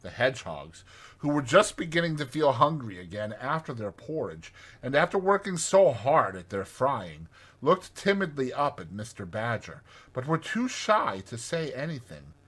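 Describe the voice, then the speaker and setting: Male audiobook narrator, scripted storybook reading, forceful and clear-edged, lively intonation. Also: medium pitch, moderate pace.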